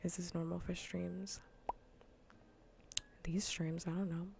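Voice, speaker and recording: A woman livestreamer chatting softly in ASMR style, often whispering with a breathy, gravelly fry, in a casual, rambling way that repeats phrases. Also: on the low side, level tone, takes it slowly, background noise. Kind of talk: soft ASMR gaming livestream chat. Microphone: close ASMR microphone.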